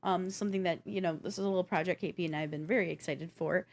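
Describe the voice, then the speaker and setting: A woman's voice: fairly low pitch, fairly even intonation, rapid-fire. A woman co-hosting a streamed show about games, talking in a casual, chatty and informal way. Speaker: co-host and game streamer.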